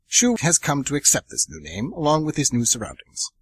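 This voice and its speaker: Lively, husky-voiced male storyteller. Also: medium pitch, fairly quick. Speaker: radio drama narrator. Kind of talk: scripted storybook narration.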